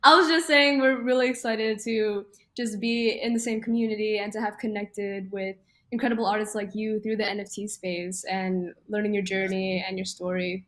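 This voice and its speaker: A woman's voice: medium pitch, steady tone, moderate pace, fairly bright tone. Woman co-host on a video call, friendly, says um and like a lot. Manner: friendly, chatty, with fillers. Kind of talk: casual interview questions.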